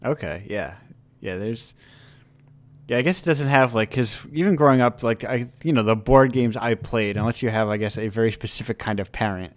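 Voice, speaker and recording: A man's voice: mid-range voice, moderate pace. A young man hosting a podcast, chatting casually over a call and asking loose, rambling questions. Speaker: podcast host.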